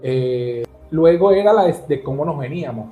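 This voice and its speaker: A man's voice: on the high side, lively intonation, narrow, tinny audio, soft background music. Earnest venezuelan man, echoey room. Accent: Venezuelan Spanish. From Caracas, Venezuela. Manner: earnest, halting, with many restarts. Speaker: interview guest. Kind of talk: personal storytelling in an interview.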